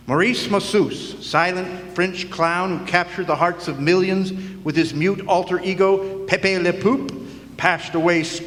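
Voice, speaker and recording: Man playing a deadpan noir private eye, echoey hall. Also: a little high, a little hiss or hum. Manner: deadpan, world-weary. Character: cynical hardboiled male private detective narrating his case. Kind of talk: 1950s noir radio drama narration. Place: large hall, live stage performance. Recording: echoey hall, stage performance.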